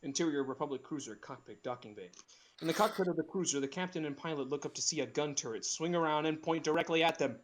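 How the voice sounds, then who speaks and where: A man's voice: a little high, fast talker, slight background noise. A man narrating screenplay directions in a casual group read, dropping in amused asides.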